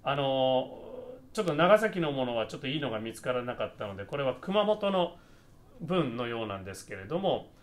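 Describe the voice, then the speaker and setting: A man's voice: mid-range voice, very animated, fairly quick. A man from Nagasaki, Japan, giving an explanatory Buddhist talk as a minister, conversational and going step by step. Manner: conversational, explains step by step, often ends phrases seeking agreement.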